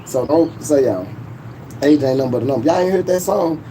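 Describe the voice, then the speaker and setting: Loud-mouthed man ranting on a noisy livestream. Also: on the high side, lively intonation, fairly quick. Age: 40s. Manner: boastful, profane, laughing often. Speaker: YouTube livestream host. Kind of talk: casual livestream rant.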